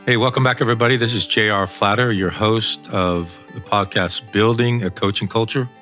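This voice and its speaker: A man's voice: on the low side, fairly even intonation, moderate pace, bright, forceful tone, faint music behind. A man hosting a podcast, introducing the show and those taking part. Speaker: podcast host.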